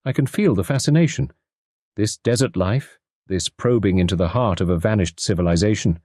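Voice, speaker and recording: A man's voice: fairly low pitch, fairly quick, darkish tone, slightly rough, dry recording, studio quality. A man playing an earnest, wistful Englishman. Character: earnest, romantic Englishman, the narrator's companion.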